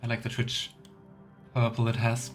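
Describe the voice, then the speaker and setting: Guy doing game commentary, medium pitch, rambling casually to himself, faint game music behind. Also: monotone, moderate pace. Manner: musing aloud, chatty. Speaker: let's play host.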